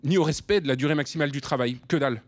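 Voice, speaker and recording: An actor playing a sheepish, self-justifying delivery rider in a radio drama, with a hesitant, stammering way of talking. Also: male voice, fairly high pitch, racing, no echo, close to an everyday voice. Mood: aggrieved. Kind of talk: radio drama, naturalistic confession.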